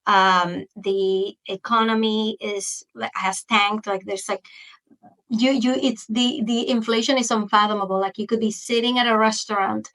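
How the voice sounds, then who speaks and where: A woman in her forties from Venezuela with a Venezuelan Spanish accent, a Spanish speaker, chatting as a guest in an interview, animated, with quick restarts. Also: low-quality recording. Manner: animated, quick restarts, jumps into high-pitched quoted asides. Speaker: guest, marketing expert. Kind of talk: casual interview chat.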